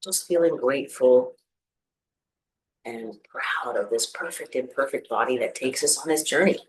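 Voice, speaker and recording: A meditation teacher guides listeners through a practice in a medium-pitched voice. The delivery is gentle and soothing, with space left between instructions. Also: moderate pace, rough recording.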